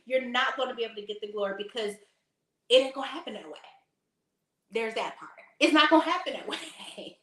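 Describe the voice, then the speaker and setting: Slightly hoarse woman faith-talk host, sassy and emphatic, high-ish voice, roomy echo. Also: expressive, brisk, sharp tone. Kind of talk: casual preaching to a live audience.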